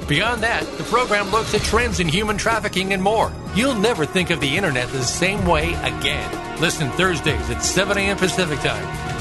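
A male announcer reading a scripted radio promo with urgent emphasis. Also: high voice. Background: music.

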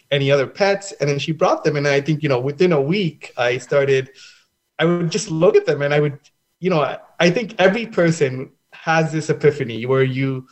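A young adult man from Sri Lanka, a festival founder being interviewed, answering earnestly with many halting restarts. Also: on the high side.

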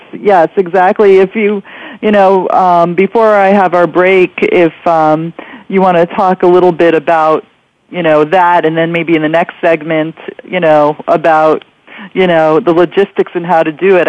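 Man, fairly high voice, radio host, conversational interviewing, dry, slightly muffled lo-fi audio. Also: little pitch movement, normal speed, no room sound.

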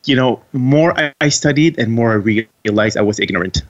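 A man's voice: mid-range voice, expressive, brisk. Native French-speaking man with a Quebec accent, earnest interview guest, muffled lo-fi sound. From Quebec, Canada. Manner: earnest, with frequent restarts. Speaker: guest author. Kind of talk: interview answers.

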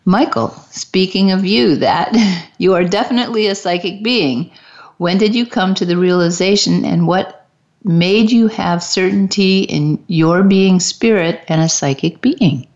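A woman hosting a spiritual talk show, speaking in a calm, instructive way to her guest. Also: lowish voice, measured pace. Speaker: host. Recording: dull lo-fi sound.

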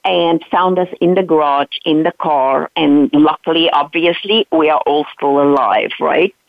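A South African woman in her fifties, telling her life story as an interview guest, animated and earnest, often checking in with "right?". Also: low-pitched, unhurried, gravelly, no room sound. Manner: earnest, animated retelling with frequent "right?" asides. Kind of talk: personal storytelling in a podcast interview. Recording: dry, tinny phone-like line.

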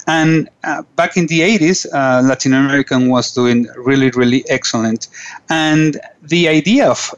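A man's voice: medium pitch, muffled recording. Earnest Venezuelan-born male radio host. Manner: earnest, formal, with frequent restarts. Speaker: radio show host and business coach. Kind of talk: scripted radio monologue. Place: radio studio.

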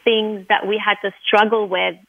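A woman's voice: medium pitch, little pitch movement, normal speed, creaky, no room sound, narrow, tinny audio. A middle-aged woman from Lebanon, Arabic her first language, telling her story earnestly as an interview guest. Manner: earnest storytelling, many fillers. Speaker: guest, dentist. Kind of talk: interview conversation.

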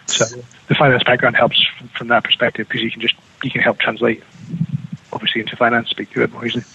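Fast-talking New Zealand man on a call. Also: medium pitch, flat delivery, gravelly, pushed and bright, husky, no echo, highs cut off. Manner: fast, with frequent restarts and fillers. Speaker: guest. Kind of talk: remote radio interview. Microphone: remote call with slight lag.

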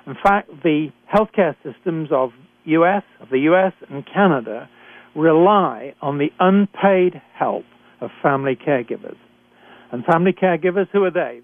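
A man's voice: a little high, no echo. Older British man, phone-line host voice. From Britain. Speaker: radio show host. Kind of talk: reading a guest introduction. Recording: thin phone-line sound.

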